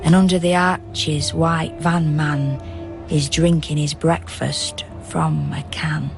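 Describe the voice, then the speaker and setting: A woman's voice: sits low, flat delivery, slow, vocal fry, music in the background, heavily acted. A woman playing a tender, watchful dead young woman who narrates in lyrical, incantatory verse in a radio drama. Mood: tender, knowing.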